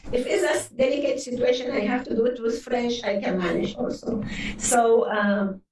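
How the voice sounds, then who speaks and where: A woman's voice: fairly high pitch, moderate pace, a little mellow. A woman in her sixties from Lebanon whose first language is Arabic, speaking earnestly as an interview guest and often restarting her words. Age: 60s. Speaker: guest psychotherapist. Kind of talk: interview conversation. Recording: roomy, distant mic.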